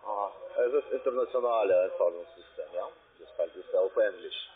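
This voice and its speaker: A man with a foreign accent, not a native speaker, working as a call centre agent and giving halting, insistent instructions. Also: deep voice, slightly rough. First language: not German. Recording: tinny, band-limited phone line.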